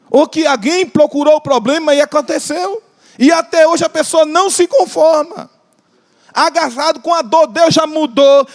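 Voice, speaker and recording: Man, Brazilian Portuguese accent, fervent preacher, drawn-out emphasis, reverberant church hall. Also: very high-pitched. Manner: impassioned, drawn-out emphatic phrases, jokes with the congregation. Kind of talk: sermon.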